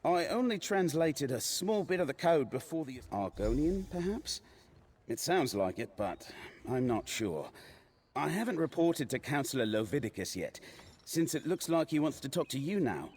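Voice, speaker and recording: A man's voice: on the high side, big pitch swings, normal speed, darkish tone. A man voicing a genial imperial scribe and former adventurer in a fantasy video game, conversational and slightly formal. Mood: friendly, thoughtful.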